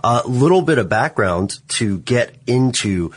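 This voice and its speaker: A man co-hosting a podcast, telling background history in a relaxed conversational way. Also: medium pitch, measured pace, slightly rough. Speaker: podcast co-host. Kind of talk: casual chat.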